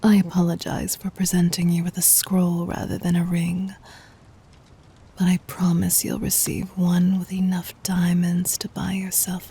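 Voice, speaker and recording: A woman playing a regal, adoring demon queen in an intimate ASMR roleplay, whispery and breathy, speaking formally with tender command. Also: lowish voice, warm tone, full character voice. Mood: tender, devoted.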